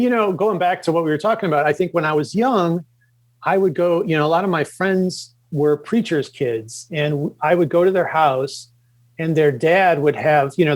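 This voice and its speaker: A middle-aged man from the Chicago suburbs, a podcast guest, talking casually and haltingly with many fillers and restarts. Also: on the high side. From Chicago suburbs, Illinois. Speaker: podcast guest, comics creator. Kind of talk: casual interview talk.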